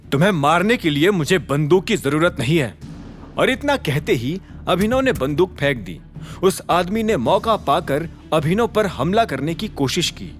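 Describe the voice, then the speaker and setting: A man giving scripted, suspenseful dramatic narration of a story in an expressive manner. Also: a little high. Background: background music.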